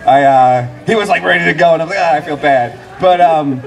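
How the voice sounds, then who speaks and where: A man's voice: fairly high pitch, brisk, vocal fry. A man moderating a live panel at an outdoor book fair, joking and teasing the guests on a handheld microphone. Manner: joking, playful interjections.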